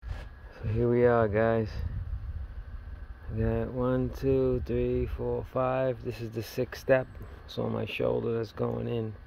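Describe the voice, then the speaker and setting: A man's voice: medium pitch, little pitch movement, slow pace, gravelly, a little mellow, a little hiss or hum, low-quality recording. Plainspoken middle-aged man filming his DIY job. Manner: plainspoken, rambling, working-man talk. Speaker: DIY home builder filming his project. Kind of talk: casual walkthrough narration. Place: outdoors at a house, in a dug-out basement stairwell. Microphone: handheld phone camera.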